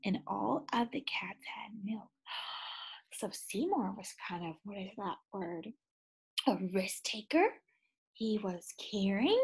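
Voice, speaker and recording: Woman teacher, slow and lively read-aloud voice, a little breathy. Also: medium pitch. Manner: animated, gasps and sighs, asks children questions. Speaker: second grade teacher. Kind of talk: children's read-aloud with questions.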